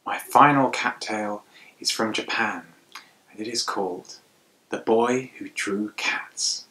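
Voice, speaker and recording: Male storyteller, animated children's folktale delivery, hushed asides and theatrical pauses. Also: lowish voice, rough recording.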